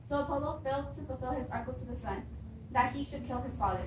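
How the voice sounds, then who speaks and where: A woman's voice: high-pitched, quick pace, natural voice. A young woman acting an anxious, reassuring queen and wife in a readers theatre Greek tragedy. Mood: confident, reassuring. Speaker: student actor. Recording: distant echoey room with clear background noise.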